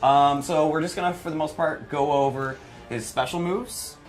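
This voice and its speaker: A man's voice: on the high side, moderate pace, soft background music. A man giving chatty, informal live commentary as he demonstrates a fighting game character, with a playful self-deprecating streak. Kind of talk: live gameplay commentary, casual explaining.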